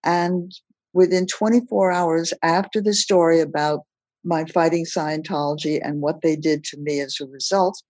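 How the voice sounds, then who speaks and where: An older woman from Belgium, recounting her life story as a podcast guest in a measured, plain-spoken way. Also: lowish voice, a little mellow, no echo. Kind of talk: personal storytelling in a podcast interview.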